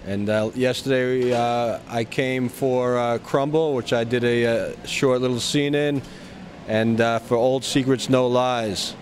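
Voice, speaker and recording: A man with a medium-pitched voice answers questions informally. His phrasing is halting, with frequent stops and restarts. Faint ambient noise sits behind him. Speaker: actor being interviewed. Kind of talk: casual event interview.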